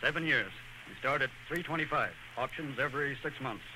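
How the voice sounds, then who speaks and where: An actor playing a brisk, jovial movie studio man in an old radio drama. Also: medium pitch, lightly acted. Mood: brisk, friendly. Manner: brisk, animated. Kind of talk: 1940s radio acting. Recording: noisy old radio broadcast.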